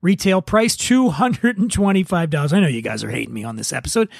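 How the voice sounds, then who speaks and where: A man's voice: a little high, expressive, quick pace, gravelly, no room sound, studio quality. A man hosting a podcast, giving a semi-scripted review in a conversational way with wry, joking asides. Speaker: podcast host reviewing spirits.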